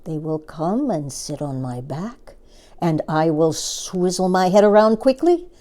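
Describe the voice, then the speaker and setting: A woman's voice: on the low side, sing-song, unhurried, dark tone. A woman voicing a greedy, bullying crocodile in a children's storybook, muttering and scheming to itself. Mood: sly, scheming.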